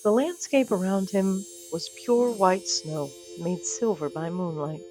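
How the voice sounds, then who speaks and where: Female fiction-podcast narrator, theatrical with dry wit, over a clear music bed. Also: mid-range voice, a little slow. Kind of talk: dramatic scripted storytelling.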